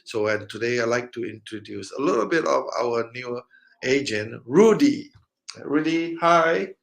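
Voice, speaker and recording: Gravelly upbeat man hosting. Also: medium pitch, lively intonation. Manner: halting, many fillers, upbeat. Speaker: interview host. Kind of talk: casual interview chat.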